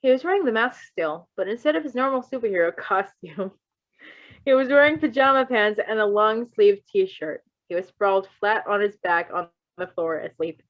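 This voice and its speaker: A woman reading fan fiction aloud in a casual, giggly, expressive way, breaking into chatty asides. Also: medium pitch, moderate pace, muffled recording. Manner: giggly, expressive, exclaiming and swearing. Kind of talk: giggly live read-aloud with casual chat.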